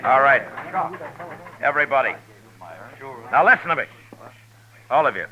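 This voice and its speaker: An actor playing a firm, commanding frontier marshal in a 1950s radio drama. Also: male voice, mid-range voice, lively intonation, moderate pace, natural voice. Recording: dull, lo-fi old radio broadcast.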